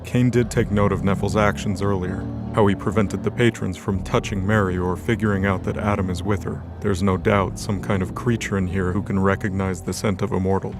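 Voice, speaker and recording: A man's voice: deep voice, little pitch movement, a little fast, few pauses. A man reading scripted narration for a light novel audio drama. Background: background music.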